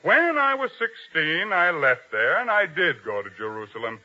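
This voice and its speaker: An actor playing a proud, ambitious army captain, boastful and self-important, in a 1950s radio drama style. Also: male voice, on the high side, big pitch swings, moderate pace, sharp tone, exaggerated voice. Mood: boastful reminiscing. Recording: dull old radio broadcast recording.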